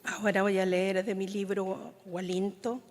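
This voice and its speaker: A woman poet from Chile whose mother tongue is Mapudungun, reading her poems in a measured, solemn way, often letting lines fade into a whisper. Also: medium pitch, little pitch movement. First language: Mapudungun (Huilliche). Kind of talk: slow, solemn poetry reading. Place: large conference hall. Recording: long reverb of a large hall.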